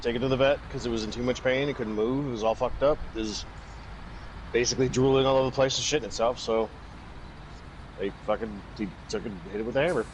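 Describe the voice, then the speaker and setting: A man's voice: mid-range voice, steady tone, fast, poor audio. A young man chatting casually and telling stories in a crude, sweary way, acting out the dialogue as he goes. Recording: vehicle and road noise.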